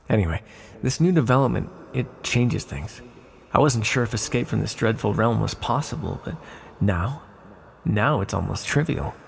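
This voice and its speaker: A man playing an ancient sealed villain, a calm and tender magical being who speaks in a measured, formal, reassuring way in an ASMR roleplay. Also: on the low side, vocal fry, slight background noise. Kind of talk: ASMR roleplay, scripted monologue to the listener.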